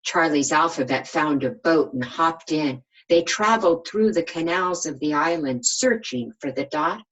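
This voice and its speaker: A woman teacher reading a storybook to young children, pausing for simple explanations and coaxing questions. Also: sits low, lively intonation, fairly bright tone, lo-fi sound. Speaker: kindergarten teacher.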